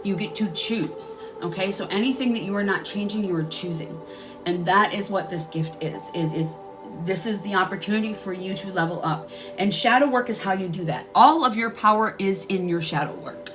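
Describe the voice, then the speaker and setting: A woman's voice: medium pitch, normal speed, recorded from a distance. A woman hosting a live-streamed healing session, talking in an earnest, rambling way and guiding listeners through their breathing. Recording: voice a bit off-mic with music playing.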